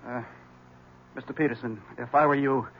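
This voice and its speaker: A mid-pitched male voice on a dull, noisy old radio recording, performed by an actor. He is an ominous, troubled husband, halting and evasive, with grave warnings. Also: steady tone, natural voice. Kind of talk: 1970s radio mystery drama acting.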